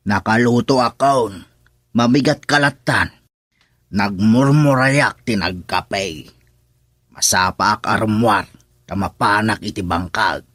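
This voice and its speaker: A kindly farmer father in an Ilocano radio drama. He talks slowly and gently in a low voice with an Ilocano accent, recorded very dry. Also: dry recording. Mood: calm, homely. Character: hardworking rural father, farmer, calm and kindly.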